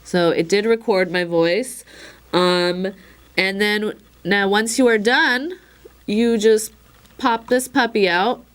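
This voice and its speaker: A slightly hoarse woman giving a casual, chatty product demonstration, with frequent fillers and wry asides. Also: mid-range voice, slow. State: a little hoarse. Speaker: instructor demonstrating a recorder.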